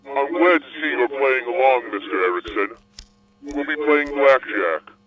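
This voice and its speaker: An actor playing a calm, cunning male captor who interrogates his prisoner over a card game, measured and smugly polite. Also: mid-range voice, natural voice. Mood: coolly smug. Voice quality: measured, smug, coolly polite. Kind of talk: audio drama acting. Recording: phone-like, crowd noise behind.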